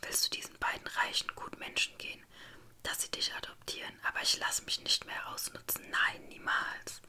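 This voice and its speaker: A woman's voice: moderate pace, bright, forceful tone. Woman whispering as a cruel old grandmother. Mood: hateful anger. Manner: menacing, hissing whisper. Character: cruel, cackling old grandmother, full of spite.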